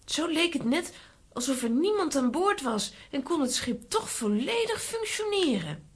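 A woman playing an excitable, plucky young girl in a children's audio drama. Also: sits high, unhurried. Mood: eager, explanatory.

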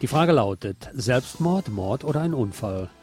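A man's voice: medium pitch, expressive, a little slow, music in the background. A man delivering a scripted film review as a critic recommending a movie to listeners.

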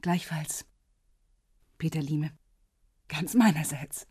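An actress playing a reserved but tender woman in love, speaking softly and tersely in a radio drama. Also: deep voice, slow, husky, no echo, clean studio sound, exaggerated voice. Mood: tender, intimate.

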